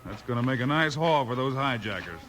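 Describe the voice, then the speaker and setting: An actor playing a calm, resolute Old West marshal with an American accent, firm and terse, in a 1950s radio western. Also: male voice, medium pitch, a little fast, noisy background, lightly acted.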